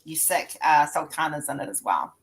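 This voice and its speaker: A woman's voice: low-pitched, lively intonation, moderate pace, a little hiss or hum. A woman, chatting casually and joking at her own expense as a live stream host. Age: 40s. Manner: chatty, self-deprecating, laughs easily. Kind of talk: casual chat.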